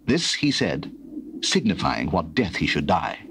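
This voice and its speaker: Formal male narrator for biblical drama, solemn yet lively in intonation, slight tape noise. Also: deep voice, a little slow.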